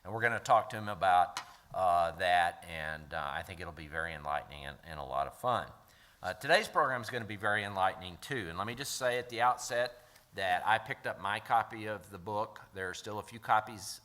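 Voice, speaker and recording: A middle-aged man with a deep yet bright, forceful voice opens a lecture event. He speaks in a relaxed, chatty way, stopping often for fillers as he introduces the guest. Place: lecture hall with an audience.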